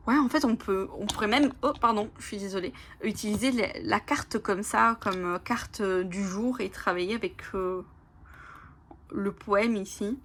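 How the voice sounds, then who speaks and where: A woman whose first language is Italian, casually presenting oracle cards, reading their lines aloud slowly and whispering in awe, with many fillers. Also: mid-range voice, a little hiss or hum. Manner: soft, often whispering in awe, hesitant with fillers. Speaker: oracle card channel host.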